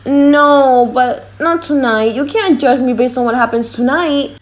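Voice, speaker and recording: A woman voicing a brash, playful young gentleman with a bold, mock-solemn manner in an audiobook drama. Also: sits high, unhurried. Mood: mock-solemn, pleading.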